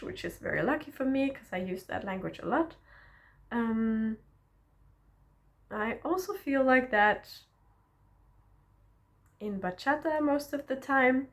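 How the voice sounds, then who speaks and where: Slow, encouraging woman. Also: fairly high pitch, expressive. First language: Danish. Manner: reflective and encouraging, often checks in with a questioning "yeah?". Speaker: self-development vlogger. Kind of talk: personal teaching monologue to camera.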